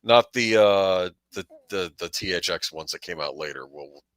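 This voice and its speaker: Middle-aged male guest, lowish but bright forceful voice, casual reminiscing, dry sound. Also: animated, normal speed, dry recording. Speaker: panel guest.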